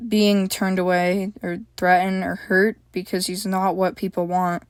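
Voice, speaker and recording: A woman's voice: level tone, measured pace, clear, resonant tone, dry recording, clean studio sound, lightly acted. Woman voicing a stammering frightened girl. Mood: hesitant. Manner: stammering, frantic, high-pitched. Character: frightened, anxious young girl.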